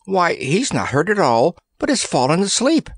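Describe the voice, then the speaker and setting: Man as a boy hero, much raised pitch, excitable, anxious, urgent exclamations, storybook. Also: moderate pace, husky, no echo, heavily acted. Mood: amazed.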